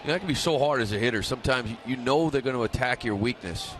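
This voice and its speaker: Guy doing baseball color commentary, relaxed chat, normal pitch, a bit of ballpark noise. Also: fast talker. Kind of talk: conversational baseball analysis. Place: ballpark broadcast booth.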